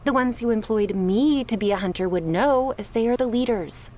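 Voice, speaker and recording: A woman acting confrontational women in a vampire crime syndicate roleplay, speaking deliberately in a dramatic audio style. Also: a little high, warm tone, close to an everyday voice. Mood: hostile.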